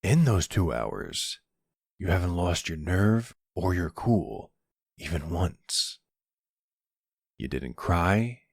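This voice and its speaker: A man playing a charming, smooth-talking mafia boss in an ASMR roleplay, wry and flirtatious. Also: low voice, dry recording, studio quality, heavily acted. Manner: flirtatious, wry, exasperated with his cousin. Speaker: voice actor.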